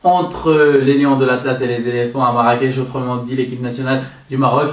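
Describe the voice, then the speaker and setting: A man giving an informal, halting football match analysis on his own, often stopping to correct himself. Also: mid-range voice, little pitch movement. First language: French-speaking, not an Arabic speaker. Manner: hesitant, halting, self-correcting delivery. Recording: roomy echo, distant microphone.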